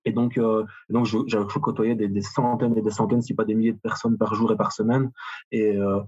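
A man's voice: fairly low pitch, level tone, brisk, darkish tone, slightly rough, muffled recording. A man in his late thirties with a Belgian French accent, a gym owner answering interview questions in a hesitant, filler-heavy way. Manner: hesitant, many fillers and restarts. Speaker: guest, personal trainer and gym owner.

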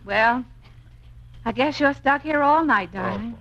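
A woman's voice: a little high, expressive, unhurried, a bit bright. A woman playing a playful, scheming wife in theatrical, chatty 1950s radio comedy style. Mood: coy, wistful. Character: scheming playful wife, posing as brash lowbrow nightclub singer. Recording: muffled noisy 1950s radio broadcast.

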